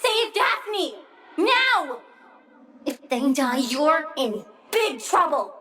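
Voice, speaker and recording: A woman's voice: high-pitched, sing-song, extremely slow, fairly bright tone, far from the mic, heavily acted. An actress voicing a young girl plant villain in a video game, bossy, doting and vengeful, with a childish, petulant, cutesy menace.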